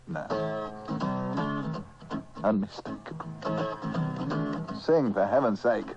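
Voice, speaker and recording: A man's voice: fairly low pitch, takes it slowly, creaky. A man ad-libbing casually as a radio disc jockey, wry and self-deprecating. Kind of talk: casual ad-libbed music presenting. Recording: dull radio recording, faint music.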